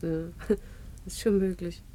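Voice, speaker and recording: A woman's voice: on the low side, steady tone, takes it slowly, warm tone, a little hiss or hum. A woman playing a young, proud, guarded character in a radio drama, curt and skeptical in manner. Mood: reserved. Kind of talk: naturalistic radio drama acting.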